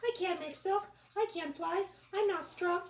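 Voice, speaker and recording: A woman's voice: very high-pitched, moderate pace, pitched above the performer's own voice. A woman voicing a small, smelly male stink bug from a children's storybook, dramatic, self-pitying and whiny. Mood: whiny, despairing. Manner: whiny, exclaiming. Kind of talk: children's storybook read-aloud. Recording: echoey room, distant mic, background noise.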